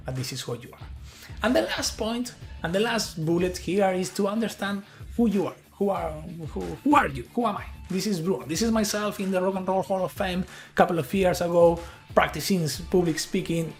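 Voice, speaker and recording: Middle-aged Argentine man, Spanish-accented English, earnest and halting conference speaker, slightly high voice, faint music underneath. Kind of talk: presentation talk.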